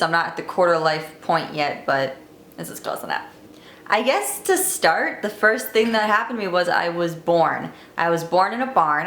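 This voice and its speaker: A woman's voice: low-pitched, a bit bright, slight background noise. A twenty-year-old woman vlogging, telling her life story to camera in a candid, wry, chatty way. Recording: echoey room, faint ambience.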